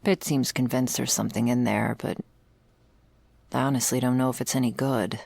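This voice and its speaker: A woman playing a weary, sardonic investigator of vampire lore in an intimate ASMR roleplay, speaking drily and sometimes hushed. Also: fairly even intonation, moderate pace, no room sound, full character voice. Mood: wry. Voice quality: husky, creaky low voice. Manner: dry, sardonic, swearing, hushed in places.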